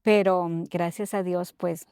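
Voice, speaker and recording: A middle-aged woman from Ecuador, telling her personal story haltingly as an interview guest, often checking in with tag questions. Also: on the low side, fairly even intonation, dark tone, clear, resonant tone, dry recording, studio quality.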